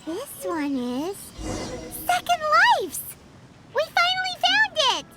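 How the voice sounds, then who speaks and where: A woman voicing a tiny, childlike girl fairy in a video game, squeaky, perky and blunt, full of exclamations. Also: wildly swooping pitch, slow pace, heavy background noise, full character voice. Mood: excited. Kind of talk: video game character acting.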